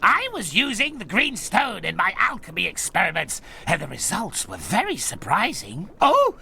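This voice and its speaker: An actor playing an irascible, scheming evil wizard in a cartoon game, ranting with theatrical contempt. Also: male voice, high-pitched, wildly swooping pitch, moderate pace, gravelly, pushed and bright, heavily acted. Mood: gloating, scornful. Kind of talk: animated game cutscene acting.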